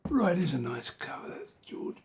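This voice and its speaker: A man with a hoarse, gravelly voice that keeps dropping to a half-whisper, musing unhurriedly over his book collection with many ums and rights. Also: on the high side, big pitch swings, moderate pace, darkish tone. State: losing his voice. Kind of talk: casual collection walkthrough.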